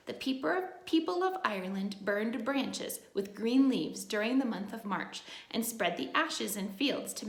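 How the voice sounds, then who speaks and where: A woman's voice: sits high, creaky, echoey room. A woman teacher reading a picture book aloud to young children, adding simple, encouraging asides as she goes.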